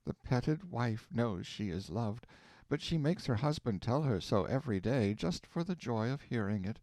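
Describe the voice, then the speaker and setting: A man voicing a gravely ill mother who speaks tenderly and wistfully of her daughter. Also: mid-range voice, lively intonation, normal speed, a little mellow, no room sound, close to an everyday voice.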